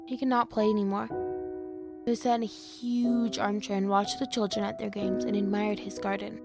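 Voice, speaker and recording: A woman voices a young woman reading a fairy tale aloud, gentle and steady at a mid pitch. Soft music plays clearly beneath her reading. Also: normal speed, lightly acted. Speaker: storyteller within the drama. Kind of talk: audio drama.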